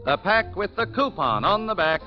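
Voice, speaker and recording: An American man announces a 1940s radio program with scripted polish. His high voice swings widely in pitch, and the old broadcast sounds dull, with faint music behind. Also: very animated, moderate pace.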